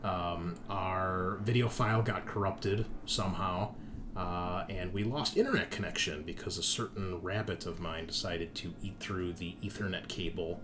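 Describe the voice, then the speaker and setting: A man's voice: low-pitched, normal speed. A man chatting easily and casually as he hosts and introduces a tabletop game show. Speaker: podcast host and game master. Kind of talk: casual podcast intro chat. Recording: noticeable background noise.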